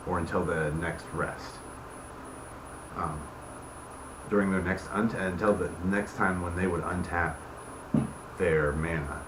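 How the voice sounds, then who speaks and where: A man hosting and casually explaining game rules like a dungeon master, often checking that listeners follow. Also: low voice. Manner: informal, checks listeners follow with tag questions. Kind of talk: casual explanatory talk to camera. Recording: echoey room with background noise.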